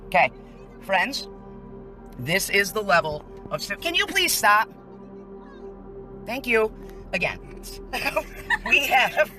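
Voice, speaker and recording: A woman filming a street protest on her phone and narrating it indignantly, lecturing the crowd outdoors. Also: medium pitch. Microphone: handheld phone.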